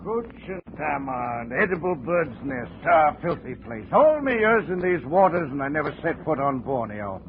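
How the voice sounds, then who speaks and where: A cynical sea captain from an old radio adventure. His fairly high voice swings widely in pitch, salty and sardonic. It comes through a thin, noisy 1950s broadcast recording. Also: very animated, moderate pace, few pauses, phone-quality sound. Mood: disdainful. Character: cynical, weathered ship's captain of the Eastern seas. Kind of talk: 1950s radio drama acting.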